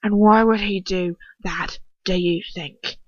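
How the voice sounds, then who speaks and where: A woman's voice: mid-range voice, steady tone, slow, no room sound, dull audio. A woman voicing a menacing male wizard villain in a storybook reading, a crude bully with a mocking, hissing delivery.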